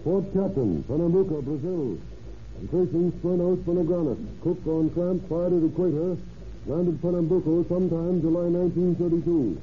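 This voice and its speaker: An actor playing a determined, plain-spoken American detective chief in an old radio drama, brisk and businesslike. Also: male voice, fairly high pitch, close to an everyday voice. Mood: brisk, official. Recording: tinny, noisy old radio broadcast.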